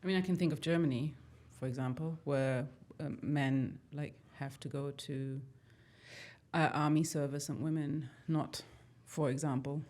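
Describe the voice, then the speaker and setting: A woman biologist talking in a casual discussion, measured and hesitant, with many fillers. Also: low voice, steady tone, dark tone.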